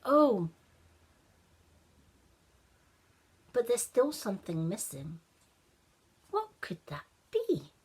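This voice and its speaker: Lady doing eager little storybook boy, thinks out loud, slow n swoopy, bit breathy. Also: a little high, huge pitch swings, heavily acted. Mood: excited, pondering. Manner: exclaiming, musing aloud. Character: eager, puzzled little boy building a snowman. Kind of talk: children's storybook character voice.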